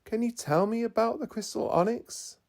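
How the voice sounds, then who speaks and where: A man's voice: very high voice, moderate pace, darkish tone, pitched above the performer's own voice. A man reading a storybook, voicing an earnest, hopeful little girl who is easily upset. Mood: excited.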